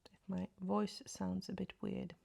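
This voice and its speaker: A woman chatting casually through a makeup tutorial, speaking softly and often half-whispering, with a slight cold and a sore throat. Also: medium pitch, completely flat delivery, unhurried, gravelly, breathy edge. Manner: soft, often half-whispered asides while applying makeup. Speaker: beauty vlogger. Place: at home in front of a mirror.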